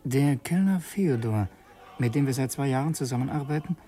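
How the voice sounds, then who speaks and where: An actor playing an earnest, brooding revolutionary who narrates in an intense, halting way in a radio play. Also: male voice, medium pitch, lively intonation, mellow, lightly acted. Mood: reflective. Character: earnest, brooding male revolutionary narrating his secret errand. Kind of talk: radio play, first-person dramatic narration.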